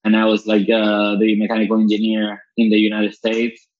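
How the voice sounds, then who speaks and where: A man's voice: lowish voice, monotone, fairly quick. A man from Venezuela in his thirties, a comedian guest chatting casually, swearing freely and often restarting his sentences. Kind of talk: casual podcast chat. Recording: dull lo-fi internet call audio.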